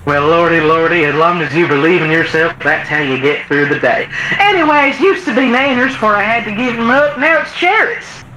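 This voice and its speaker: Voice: medium pitch, lively intonation, a little fast, natural voice. Someone putting on an old texan trucker lady, rambly and homespun, swoopy voice, noisy echoey radio. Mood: chatty. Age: older. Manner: rambling, homespun sayings, chuckling. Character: folksy older Texan trucker called Mama Bear. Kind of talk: improvised comedy chat.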